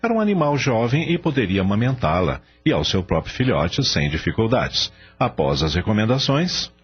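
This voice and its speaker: A man's voice: on the low side, sing-song, moderate pace, slightly rough, faint music behind. A man reading scripted story narration for a radio drama. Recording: dull lo-fi audio, faint background noise.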